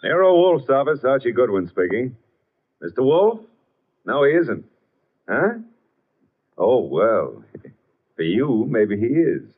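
An actor playing a breezy, wry detective's assistant with a soft spot for women, in 1950s radio drama style. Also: male voice, medium pitch, very animated, takes it slowly, long pauses, breathy edge, narrow, tinny audio, heavily acted. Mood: breezy, teasing. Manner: glib, wry, easygoing. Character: breezy, woman-susceptible male detective's assistant. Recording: band-limited old 1950s radio recording.

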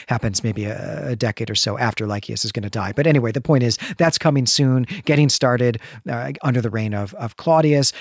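A man with a mid-pitched voice talks as a podcast co-host. He explains things in a casual but bookish, discursive way. The sound is dry, with little room to it. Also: no echo.

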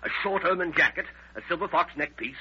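An actor playing an indignant, self-important doctor who makes huffy demands in an old radio drama. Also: male voice, on the high side, quick pace, slightly rough. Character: indignant, demanding, pompous doctor reporting a burglary. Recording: dull vintage radio recording.

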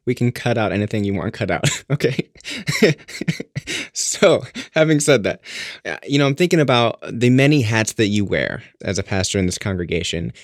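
Low-voiced man interviewing, dry sound. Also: dry recording. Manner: careful, gentle questioning. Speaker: podcast interviewer. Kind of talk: podcast interview.

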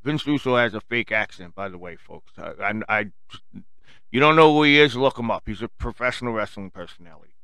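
Guy w a thick long island ny accent, sharp voice, super dry close sound, rambling and cussing like a podcast host. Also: fairly low pitch, expressive, fairly quick, smooth, no echo. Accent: strong Long Island New York. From Long Island, New York, United States. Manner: blunt, profane, slangy, addresses listeners as folks. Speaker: podcast host addressing listeners. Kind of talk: casual rambling solo monologue.

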